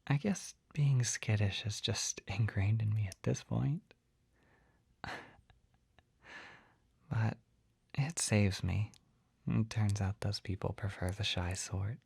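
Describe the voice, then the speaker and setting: A shy, kindly young male potion shopkeeper, acted by a man for an ASMR roleplay. He speaks in a very breathy, crackly whisper, unhurried and hesitant. The sound is close and dry, gentle and skittish throughout. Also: fairly low pitch, dry recording, full character voice. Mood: shy, friendly. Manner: hesitant, gentle, soft-spoken. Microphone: close ASMR microphone.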